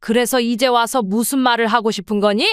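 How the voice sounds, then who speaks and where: A woman plays a steady mother in her seventies who has made up her mind, her voice smooth and clear and pitched a touch high. It is a dry, close audio drama recording with no room sound. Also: brisk, no room sound, lightly acted. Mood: firm. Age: 70s. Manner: plain, steady and resolute.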